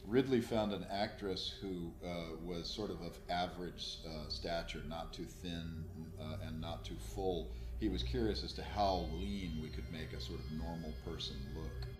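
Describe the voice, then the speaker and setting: A middle-aged man, an effects artist, explaining his past work in a halting, measured interview style. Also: low-pitched, fairly even intonation, music in the background. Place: indoor room, seated interview. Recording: echoey room, faint noise.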